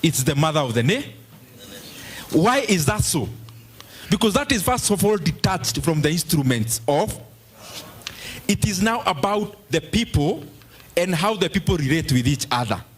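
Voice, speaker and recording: Middle-aged Kenyan man, husky and fairly high-voiced, giving an emphatic public talk with Swahili asides. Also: big pitch swings, moderate pace, pauses often. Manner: mixes Swahili phrases into English, emphatic. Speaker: politician addressing a forum. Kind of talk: public speech and audience Q&A.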